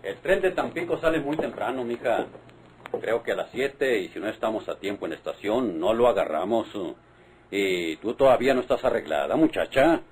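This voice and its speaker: A man's voice: lowish voice, animated, normal speed, a little mellow, slight background noise, lightly acted, rough recording. An actor playing a bossy middle-aged Mexican father, scolding and insistent, in an old radio drama. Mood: impatient.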